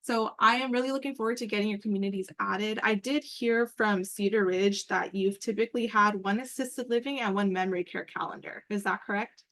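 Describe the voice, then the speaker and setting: A woman's voice: mid-range voice, a little fast, few pauses, fairly bright tone. A woman giving an informal, upbeat, explanatory walkthrough as the presenter on a work call. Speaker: meeting presenter and program coordinator. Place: online group call.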